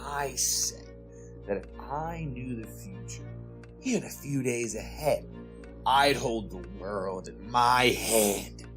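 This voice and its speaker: An actor playing an obsessed, bewildered man in a retro radio drama, with halting, melodramatic delivery. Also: medium pitch, over music, natural voice. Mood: grandiose, fervent. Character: obsessed, bewildered man craving knowledge of the future.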